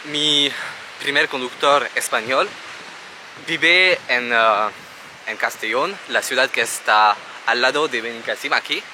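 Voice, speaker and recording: Slow-talking man vlogging. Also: mid-range voice, background noise. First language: French. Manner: halting learner's Spanish with restarts. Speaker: travel vlogger. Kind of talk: casual vlog talk to camera. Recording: music under the voice. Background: background music.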